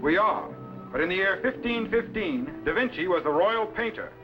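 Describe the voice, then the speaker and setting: An actor playing a commanding, scholarly time-machine captain in a crisp, authoritative 1950s science fiction serial style. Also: male voice, high voice, lively intonation, normal speed. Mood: instructive. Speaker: captain and mentor. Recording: dull vintage broadcast with loud music.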